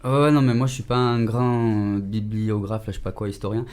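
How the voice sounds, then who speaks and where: A man's voice: fairly low pitch, level tone, brisk, a little mellow. A man speaking as a guest in a casual studio discussion, direct and ready to open a debate.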